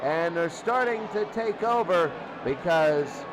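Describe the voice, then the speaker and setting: A man's voice: fairly high pitch, lively intonation, takes it slowly. A man doing live play-by-play radio commentary on a football game in short, clipped phrases. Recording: loud crowd noise, noisy broadcast. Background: roaring stadium crowd.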